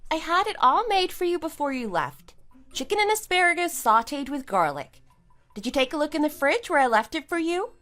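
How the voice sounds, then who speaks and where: An actress playing a put-upon but persistent middle-aged wife who reasons defensively in a scripted audio drama. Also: female voice, high voice, very animated, fairly quick, a bit bright. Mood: exasperated.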